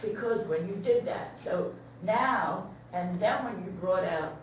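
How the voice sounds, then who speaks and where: A woman's voice: medium pitch, unhurried, distant mic. Woman in a very echoey, noisy room. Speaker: audience member giving feedback. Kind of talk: informal spoken critique at a talkback. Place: indoor room at a staged reading talkback.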